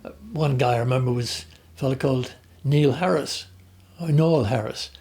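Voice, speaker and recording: An older man with an Irish accent, talking about his life at an unhurried pace, often pausing to restart his sentences. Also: medium pitch, a little mellow. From Ireland. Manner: hesitant, with many restarts and fillers. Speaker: interviewee. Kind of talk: reflective conversational storytelling.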